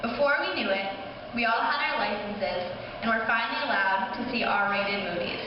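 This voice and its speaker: A woman's voice: a little high, moderate pace. Distant, echoey young woman reading a speech. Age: young adult. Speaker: high school class officer. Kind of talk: scripted speech read aloud at a school ceremony. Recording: distant echoey hall, muffled, noisy.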